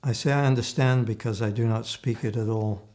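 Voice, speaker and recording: Measured elderly man in his eighties. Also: mid-range voice, steady tone, a little mellow. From Slovakia. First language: Slovak. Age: 80s. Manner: measured, thoughtful, with frequent restarts and hesitations. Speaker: interviewee. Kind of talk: oral history testimony.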